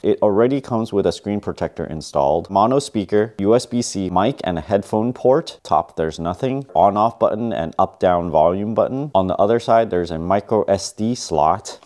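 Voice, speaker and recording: A man's voice: fairly low pitch, expressive, moderate pace, hardly any pauses, a little mellow. A middle-aged man narrating a product review in a plain, matter-of-fact way, often listing features in clipped phrases. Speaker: tech reviewer.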